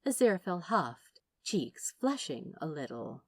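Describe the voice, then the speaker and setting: A woman reading fiction aloud as a narrator, dramatic and wry, with deliberate phrasing. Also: deep voice, sing-song, breathy edge, dry recording. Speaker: audiobook-style narrator. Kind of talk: expressive scripted fiction narration.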